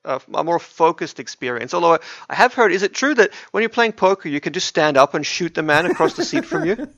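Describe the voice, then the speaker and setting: A man's voice: fairly high pitch, very animated, quick pace, gravelly, fairly bright tone. A man co-hosting a gaming podcast, chatting casually in a rambling, conversational way. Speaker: podcast co-host. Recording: dull, roomy sound.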